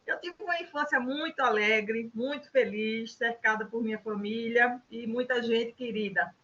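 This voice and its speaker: A woman's voice: on the high side, moderate pace, sharp tone, highs cut off. Chatty older woman from Recife. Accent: Recife Brazilian Portuguese. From Recife, Brazil. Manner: chatty, frequent "né" and restarts. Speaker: interview guest, innovation specialist. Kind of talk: conversational interview answers.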